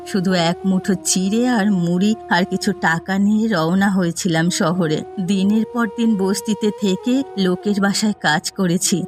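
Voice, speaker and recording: A woman's voice: medium pitch, lightly acted. Woman as a tender, long-suffering village mother. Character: devoted, long-suffering village mother, middle-aged, gentle. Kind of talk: cartoon fairy-tale voice acting.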